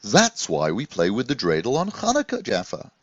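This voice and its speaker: A man's voice: medium pitch, wildly swooping pitch, moderate pace, breathy edge, dry recording, lo-fi sound. Man as a kind, wise, lilting storybook rabbi. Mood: kindly, assured. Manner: soft, kindly, teacherly.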